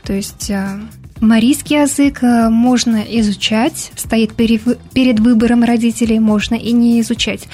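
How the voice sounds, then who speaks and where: A woman's voice: on the high side, steady tone, moderate pace, dark tone, soft background music. A young woman from Bashkortostan, a Mari language activist, answering a radio interviewer in an earnest, explanatory way with some hesitant fillers. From Bashkortostan, Russia. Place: radio studio.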